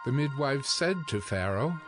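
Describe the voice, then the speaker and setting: A man's voice: mid-range voice, lively intonation. Solemn male scripture narrator over music. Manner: measured, solemn.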